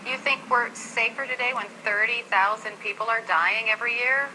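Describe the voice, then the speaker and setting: A woman's voice: a little high, normal speed, noisy background. An American woman hosting a live news interview, pressing her guest with insistent, challenging questions. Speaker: television news host.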